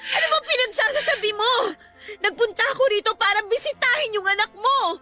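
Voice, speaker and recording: An actress playing a defiant younger sister caught as a mistress in a Filipino radio drama, protesting indignantly and shrilly. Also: female voice, very high voice, fairly quick, pushed and bright. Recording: music bed behind voice.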